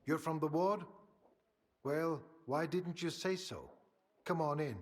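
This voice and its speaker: A man's voice: a little high, slow, a little hiss or hum. A man playing an officious guard at a secure facility in a video game. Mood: surprised, obliging.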